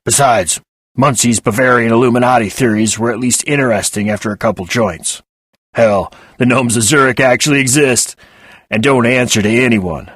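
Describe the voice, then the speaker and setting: A man voicing a crude rebel woman with a gravelly voice. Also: mid-range voice, normal speed, fairly bright tone, dry recording, studio quality. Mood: scoffing.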